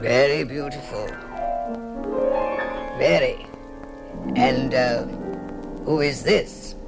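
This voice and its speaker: A man's voice: medium pitch, fairly even intonation, drawn-out words, creaky, poor audio. An actor playing a grandiose, courtly goblin king with archaic, exclamatory speech in an old-time radio fairy tale. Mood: grandly curious. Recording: old radio recording with loud music.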